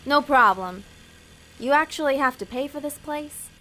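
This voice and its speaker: A high, swooping female voice, acted by a woman for a scheming demon in a comic audio drama. She is brisk and sarcastic, quick to boss people around and short on patience. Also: lively intonation, sharp tone, faint music behind. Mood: smooth, scheming. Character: bossy, impatient female demon posing as a talent developer. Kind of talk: audio comedy drama acting.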